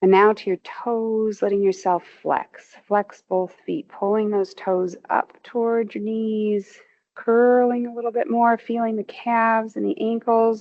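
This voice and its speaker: A woman leads a guided muscle relaxation with slow, soothing cues, her voice rising with emphasis each time she calls for tension. Her tone carries a gravelly fry, and the recording sounds somewhat muffled. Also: on the high side. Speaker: movement instructor.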